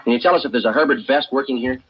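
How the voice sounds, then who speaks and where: A man playing a stoic American police detective, narrating in a clipped, deadpan way in 1950s radio drama style. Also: mid-range voice, racing, slightly rough, muffled recording, close to an everyday voice. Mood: terse. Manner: matter-of-fact, deadpan, clipped. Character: stoic, businesslike male police detective sergeant. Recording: vintage 1950s radio broadcast with music.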